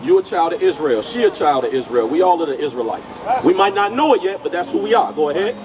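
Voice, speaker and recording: A man's voice: a little high, lively intonation, fast, crowd noise. A man preaching on the street who questions a listener directly and keeps asking whether he is understood. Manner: direct, questioning, repeats "you get what I'm saying". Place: outdoors on a city street. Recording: outdoor street noise. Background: traffic noise.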